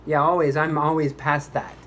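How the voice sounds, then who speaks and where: A man's voice: fairly high pitch, little pitch movement, moderate pace, a bit bright, slight background noise, natural voice. A man playing a pompous, exasperated commercial voice actor at a recording session, grandiose, indignant and condescending. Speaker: voice-over actor. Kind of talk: re-enacted commercial recording outtake.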